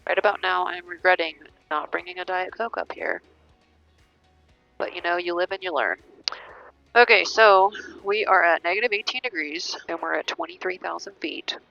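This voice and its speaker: A woman's voice: on the low side, lively intonation, normal speed, gravelly, no room sound, soft background music. An American woman pilot making radio calls and chatting casually to viewers over an aviation headset in a cockpit. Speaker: pilot and vlogger. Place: small turboprop cockpit in flight. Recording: muffled cockpit headset audio.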